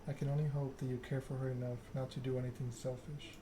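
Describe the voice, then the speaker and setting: Menacing male vampire enforcer read by a man: formal, cold, flat delivery, mid pitch, noisy recording. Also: lightly acted. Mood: threatening. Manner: measured monotone recitation, veiled threats. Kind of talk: video game dialogue read aloud.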